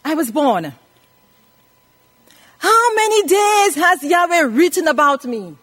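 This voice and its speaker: A woman's voice: very high-pitched, big pitch swings, slow, a bit bright. A woman in her eighties preaching a scripture lesson, emphatically repeating phrases and putting questions to her listeners. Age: 80s. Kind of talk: sermon teaching from scripture.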